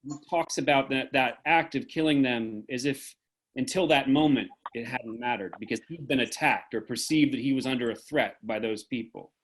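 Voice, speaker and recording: A man moderating a group discussion over a video call. He speaks in a measured, earnest way, weighing his words as he draws out meaning. His voice sits at an ordinary middle pitch. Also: a bit bright, low-quality recording.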